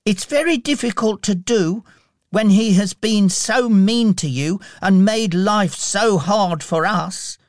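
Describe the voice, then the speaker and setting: A man voicing a frail, gentle, earnest little boy with a fragile voice in a storybook reading. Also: sits high, slow, no room sound, clean studio sound, voice pushed up. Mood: hurt.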